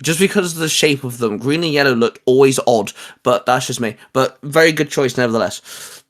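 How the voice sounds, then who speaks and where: A man with a mid-range voice hosts a relaxed, geeky chat. He speaks haltingly, circling back and repeating the starts of words as he works out what he means. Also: mid-range voice. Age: adult, a parent. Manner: halting, repetitive, stammered word starts. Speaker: host. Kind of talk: casual geek chat.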